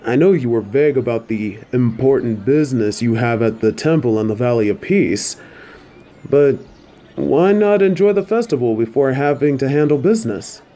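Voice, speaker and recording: A man's voice: mid-range voice, lively intonation, moderate pace, dark tone, natural voice. A man playing a friendly, easygoing wagon driver in an audio drama, casual and chatty. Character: easygoing, friendly male travel driver in ancient China. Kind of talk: fan audio drama acting.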